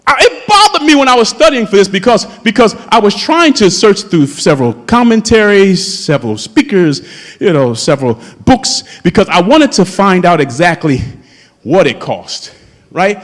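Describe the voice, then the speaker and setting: Fervent man in his fifties, soaring high swooping delivery, preaching in a church with a long echo. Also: big pitch swings, moderate pace. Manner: impassioned preaching, calls out to the congregation. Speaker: pastor. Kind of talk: sermon. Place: church sanctuary. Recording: long hall reverb in a church.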